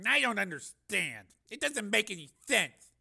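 A man's voice: high-pitched, very animated, unhurried, vocal fry, pushed and bright, husky, voice pushed up, exaggerated voice. A man voicing a baffled, defensive male technician in an audiobook, sounding plaintive and bewildered.

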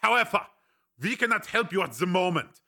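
A man's voice: high-pitched, big pitch swings, a little fast, bright, forceful tone, voice pushed up, full character voice. A man voicing a cool, commanding investigator in an audiobook, speaking formally and precisely with polite authority. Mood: firm, polite. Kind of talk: audiobook character acting.

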